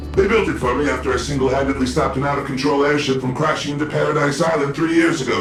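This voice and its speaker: A man's voice: mid-range voice, echoey room, recorded from a distance, lightly acted. A man voicing a flamboyant, self-mocking corporate security officer in a video game, with a swaggering, glib, theatrical delivery. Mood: grandiose.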